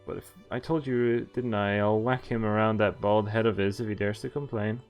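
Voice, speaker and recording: A man's voice: fairly low pitch, steady tone, moderate pace. A man streaming a visual novel, reading its text aloud in an informal, jokey way and stumbling now and then as he adds casual asides. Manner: informal, jokey, stumbling over read lines. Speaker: video game streamer. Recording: music playing behind the voice. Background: game music.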